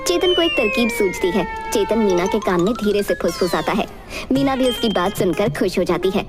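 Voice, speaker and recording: A woman's voice: medium pitch, expressive, normal speed, over music. A woman narrating a scripted children's fairy tale.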